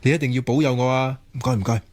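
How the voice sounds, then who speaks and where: A man's voice: mid-range voice, steady tone, rapid-fire, a little mellow, no echo, natural voice. Man acting a melodramatic young research student. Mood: excited, pleading. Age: young adult. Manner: theatrical, muttering to himself. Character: melodramatic, lonely, self-mocking young male research student. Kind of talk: Cantonese radio drama monologue.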